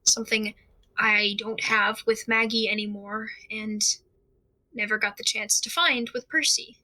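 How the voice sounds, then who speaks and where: An actress playing a frightened, isolated young investigator in an audio drama monologue, speaking haltingly and uneasily with restarts. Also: female voice, a little high, fairly even intonation, pushed and bright, rough recording. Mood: sad, lost. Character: frightened isolated young investigator unravelling over mysterious tapes.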